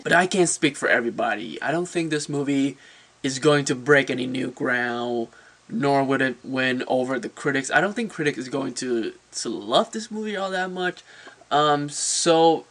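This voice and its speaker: A man's voice: fairly high pitch, a bit bright. A man giving a casual, opinionated movie review as a channel host, talking informally and often repeating words as he searches for phrasing.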